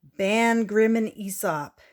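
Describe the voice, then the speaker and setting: A woman's voice: medium pitch, slow pace, natural voice. A woman voicing an outspoken, scornful schoolgirl in a storybook, challenging and declarative. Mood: defiant, declaring. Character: outspoken, scornful schoolgirl who scoffs at fairy tales.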